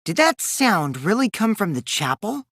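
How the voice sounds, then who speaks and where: A man's voice: high-pitched, very animated, normal speed, fairly bright tone, dry recording, clean studio sound, exaggerated voice. An actor playing a mischievous teenage boy in an anime game dub, a gleeful liar who teases and taunts theatrically, shifting between singsong mockery and sly menace. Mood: coy, mocking.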